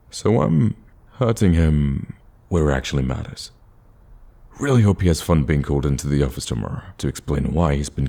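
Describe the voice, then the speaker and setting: A man's voice: rumbling low, creaky, warm tone, husky, exaggerated voice. A man playing a smitten villain on a date in a romantic ASMR roleplay, speaking casually and eagerly and getting easily flustered. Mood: annoyed, dismissive. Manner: casual, conversational, stammers when flustered.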